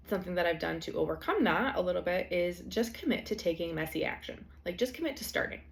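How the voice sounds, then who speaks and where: A young woman hosting a solo podcast, slightly hoarse from allergies, talking in a casual, chatty way with frequent fillers. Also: fairly low pitch. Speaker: podcast host. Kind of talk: solo podcast talk on productivity.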